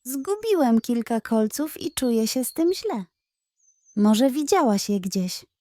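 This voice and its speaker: A woman's voice: fairly high pitch, sing-song, takes it slowly, mellow, no echo, studio quality. A woman voicing a worried, sad little boy hedgehog, plaintive and earnest, in a children's story. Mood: anxious.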